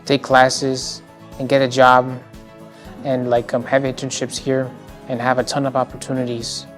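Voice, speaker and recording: Hesitant young man, flat voice. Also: mid-range voice, a little slow, faint music behind. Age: 20s. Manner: hesitant with many fillers. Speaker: program student.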